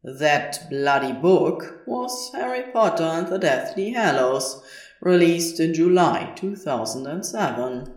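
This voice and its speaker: A woman's voice: deep voice, animated, slow, a little mellow, faint music behind. A woman reading scripted fiction aloud as a podfic narrator.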